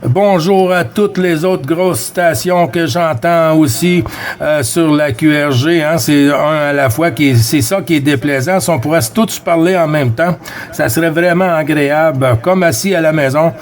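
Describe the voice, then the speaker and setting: A man with a Quebec French accent chatting casually as a CB radio operator, friendly, with halting phrasing and repeated words. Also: a little high. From Quebec, Canada. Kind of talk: casual radio chat with callsigns.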